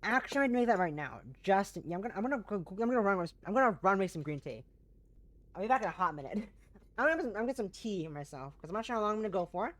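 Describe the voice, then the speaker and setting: A woman streamer chatting casually with her viewers, her voice hoarse and fading and her nose blocked, talking in bursts with lots of restarts. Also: mid-range voice, expressive, racing, no room sound. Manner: chatty and warm to chat, frequent restarts. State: hoarse, voice going.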